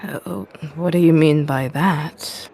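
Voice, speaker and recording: A woman playing a curious, gently inquisitive adventurer in a tabletop game. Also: low voice, slow, gravelly, a little hiss or hum, heavily acted.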